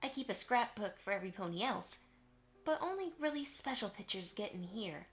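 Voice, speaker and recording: A woman voicing a gentle, affectionate mother pony who reminisces fondly in an amateur audio drama. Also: mid-range voice, lively intonation, fairly quick, faint music behind. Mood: patient, warm. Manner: affectionate, storytelling to a child.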